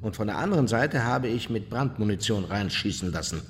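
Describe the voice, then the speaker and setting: Radio play role: unrepentant war criminal, lowish pitch, blunt, self-justifying, set in long reverb. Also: male voice, animated, brisk. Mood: agitated, boastful. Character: unrepentant male Nazi war criminal recounting his deeds, coldly self-justifying. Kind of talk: German radio play, interview testimony.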